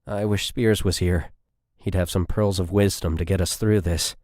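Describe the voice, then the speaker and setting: A nervous young army private with a shaky voice, as a male narrator acts him. He is heard in a dry, close audiobook recording, pitched a bit above the narration. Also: fairly even intonation, normal speed, a little mellow, dry recording.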